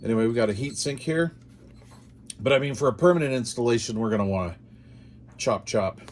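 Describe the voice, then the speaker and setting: A man's voice: mid-range voice, lively intonation, brisk. A man hosting a casual product unboxing, talking informally and musing aloud in short phrases. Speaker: host of a radio gear channel.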